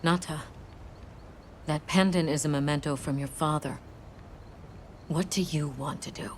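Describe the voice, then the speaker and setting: A woman playing a stern, resolute leader in a video game, speaking gravely and decisively. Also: sits low, fairly even intonation, unhurried, slight background noise. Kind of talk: video game cutscene acting.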